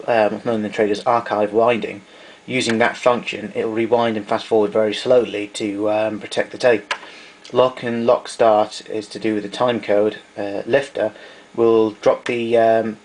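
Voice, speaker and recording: A man's voice: on the low side, slight background noise, poor audio. A man giving an informal technical demonstration of equipment, explaining things methodically.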